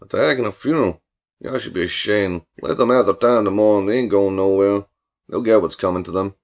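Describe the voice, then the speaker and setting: A man's voice: lowish voice, brisk, darkish tone, highs cut off, pitched below the performer's own voice, close to an everyday voice. A man voicing a swaggering, menacing gang boss in an audiobook, with a domineering, mock-courteous Southern drawl. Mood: stern. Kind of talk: audiobook character voice.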